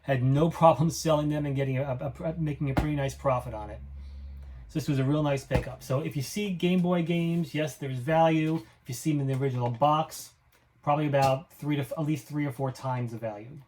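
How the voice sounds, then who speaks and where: Over some background noise, a man with a slightly high voice presents secondhand finds. He talks off the cuff in a plain, matter-of-fact way, like a hobby reseller hosting a home-made show. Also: a little fast, darkish tone. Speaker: flea market reseller hosting own show. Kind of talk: casual unscripted product show-and-tell.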